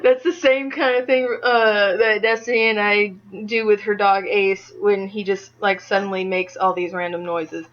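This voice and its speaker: A woman's voice: medium pitch, steady tone, moderate pace, few pauses, fairly bright tone. A young woman doing a casual amateur interview, speaking in a hesitant, giggly way. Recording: dull lo-fi audio.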